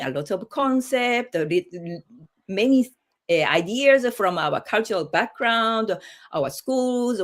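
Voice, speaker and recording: Slow, halting Japanese-accented woman hosting. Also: medium pitch. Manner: halting, searching for words. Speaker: host of a group livestream. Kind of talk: casual livestream introduction.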